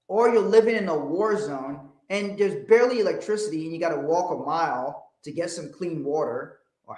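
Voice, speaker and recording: A man from Liberia, hosting a casual livestream about money and business, talking emphatically, repeating phrases and swearing bluntly. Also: sits high. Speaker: financial adviser host. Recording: echoey room.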